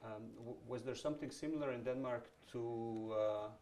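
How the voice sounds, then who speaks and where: A man's voice: medium pitch, normal speed, a little mellow, a little hiss or hum. A man moderating an onstage conversation, chatty, with many fillers and false starts.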